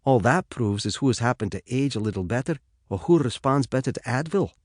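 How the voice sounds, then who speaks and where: A man's voice: medium pitch, expressive, brisk, dry recording, studio quality, lightly acted. A man voicing an eccentric, blunt and breezy golfer in his late fifties, with an encouraging streak, for an audiobook. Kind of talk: audiobook character dialogue.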